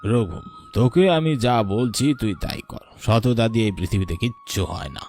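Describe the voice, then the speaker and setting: A man's voice: on the low side, animated, moderate pace, darkish tone, faint music behind, close to an everyday voice. A man playing a domineering, corrupt village councillor in a horror cartoon, brusque and commanding. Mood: bossy. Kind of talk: animated horror story voice acting.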